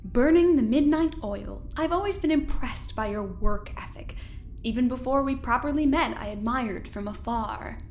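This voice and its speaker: A woman playing a cool, controlling scientist in an audio drama, speaking in a measured, formal, pointed way. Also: a little high, a little mellow, close to an everyday voice. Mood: smooth condescension. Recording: music under the voice.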